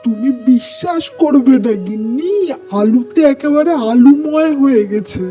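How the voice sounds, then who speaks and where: A woman voicing an excitable, pious, gullible male farmer in a cartoon, exclaiming and repeating phrases over animal sounds. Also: high voice, a little slow, dull audio, soft background music. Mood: delighted. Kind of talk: animated storybook cartoon dialogue.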